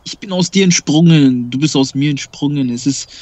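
A man's voice: on the high side, normal speed, darkish tone, no echo. Emphatic man, Kurdish native speaker. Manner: emphatic, repeats words, swears casually. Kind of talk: casual spiritual conversation.

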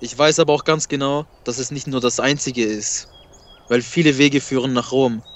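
A man's voice: mid-range voice, fairly even intonation, normal speed. A man whose first language is Kurdish, talking emphatically and repeating himself in a casual spiritual conversation.

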